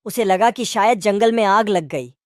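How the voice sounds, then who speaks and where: A woman voicing a sensible male jackal in a children's animated story, questioning and reasoning as he talks. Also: mid-range voice, moderate pace, dry recording, studio quality, natural voice. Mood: explanatory.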